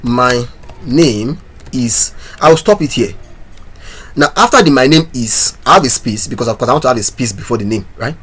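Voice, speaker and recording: A man's voice: mid-range voice, animated, moderate pace, a bit bright, slightly rough. A man teaching a coding lesson, talking through his code step by step and asking viewers questions. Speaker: programming instructor. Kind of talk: screen-recorded coding tutorial.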